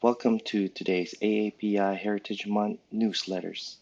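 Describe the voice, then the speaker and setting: A man's voice: on the low side, steady tone, moderate pace, vocal fry, a little mellow. A man hosting a live interview, polite and formal with his guest. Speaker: interview host. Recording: dull lo-fi sound.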